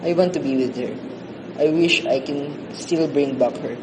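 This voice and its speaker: A man's voice: moderate pace, close to an everyday voice. A man playing a grief-stricken young man in love, narrating from a hospital bed in a radio drama. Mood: grieving, regretful. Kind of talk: scripted first-person radio drama monologue. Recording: clear background rumble and noise.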